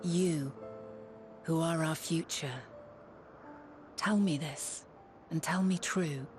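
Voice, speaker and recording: A solemn, low-voiced ancient sage. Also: female voice, music in the background. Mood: reverent. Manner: slow, solemn and reflective, archaic phrasing. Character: wise ancient woman scholar, serene and reverent. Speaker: game cutscene character. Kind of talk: voiced game cutscene dialogue. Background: orchestral game score.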